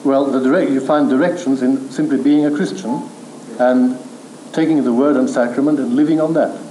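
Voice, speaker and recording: A man lecturing on theology to a room, measured and firm, answering questions from the floor. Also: mid-range voice, steady tone, warm tone. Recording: echoey room recording with faint hiss.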